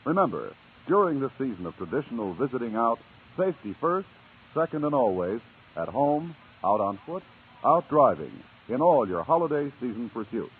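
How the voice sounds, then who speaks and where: A man's voice: medium pitch, sing-song, normal speed, breathy edge. A man working as a radio announcer, reading a scripted public-service message in 1950s style. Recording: dull, narrow old radio recording.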